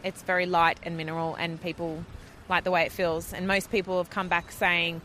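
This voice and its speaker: A woman's voice: fairly low pitch, little pitch movement, a little fast, pushed and bright, slight background noise. A woman, a makeup artist, chatting casually as a podcast guest in loose, trailing sentences. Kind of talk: casual chat.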